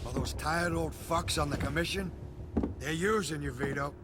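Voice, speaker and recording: An actor playing a contemptuous middle-aged mob boss in a game cutscene, taunting and sneering. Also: male voice, a little high, normal speed, heavy background noise, close to an everyday voice. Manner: sneering, taunting, builds to shouting. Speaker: game character. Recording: game cutscene over loud music.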